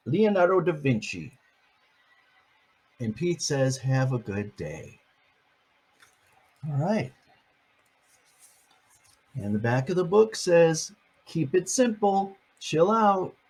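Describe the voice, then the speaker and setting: A man's voice: fairly high pitch, sing-song, drawn-out words, long pauses, a little mellow, slightly rough. An older man, a grandfather, reading a picture book aloud to his grandchildren and chatting about each page. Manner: chatty, explains each page in plain words.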